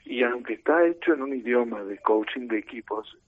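A middle-aged man with an Argentine accent, a team coach explaining his ideas in a measured, lecturing way in a radio interview. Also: mid-range voice, lively intonation, no echo. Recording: tinny phone line.

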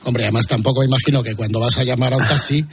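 A man's voice: mid-range voice, steady tone, very fast, background noise. A man hosting a casual, joking radio-style interview. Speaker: interview host.

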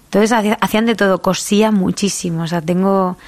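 A young woman from Albacete with a Manchego accent and Murcian touches, chatting in an interview, full of restarts and quick laughs. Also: medium pitch, steady tone, darkish tone. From La Mancha, Albacete, Spain. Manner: chatty, many restarts and fillers, laughs easily. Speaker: singer, interviewee. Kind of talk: casual interview chat.

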